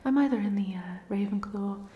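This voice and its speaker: A woman's voice: mid-range voice, brisk, dull audio, a little hiss or hum, natural voice. A woman playing a polite, chatty ghost of a drowned student in a whispered, hesitant ASMR roleplay. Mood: gentle, friendly. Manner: whispered, hesitant, gently rambling.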